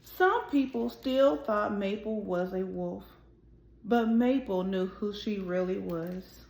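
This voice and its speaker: A woman hosting a children's read-aloud, speaking deliberately and directly to young listeners as she reads a storybook. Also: medium pitch, vocal fry, a little mellow. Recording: roomy, echoing sound.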